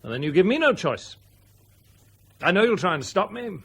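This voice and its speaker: An actor playing a stubborn, embittered airline captain in a radio drama, husky and sardonic. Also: male voice, high-pitched, sing-song, normal speed, a bit bright, heavily acted. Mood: bitter, determined. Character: defiant, embittered airline captain fighting a corporation.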